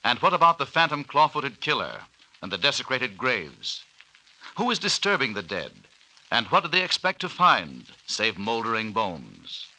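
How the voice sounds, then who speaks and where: Portentous vintage radio announcer, piercingly bright male voice. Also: medium pitch, big pitch swings, moderate pace, poor audio. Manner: dramatic, formal, portentous. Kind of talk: 1930s-40s radio announcing, scripted narration. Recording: hissy old-time radio recording.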